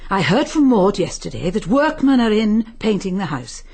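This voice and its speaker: Husky, poised upper-class English lady. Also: mid-range voice, big pitch swings, moderate pace, darkish tone. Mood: poised, helpful. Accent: British. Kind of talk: radio mystery drama acting.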